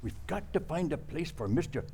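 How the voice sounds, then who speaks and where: A man playing a nervous, soft-hearted criminal doctor in a radio comedy, stammering and pleading in foreign-sounding broken English. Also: fairly high pitch, big pitch swings, moderate pace, slight background noise. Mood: anxious pleading. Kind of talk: old-time radio comedy acting.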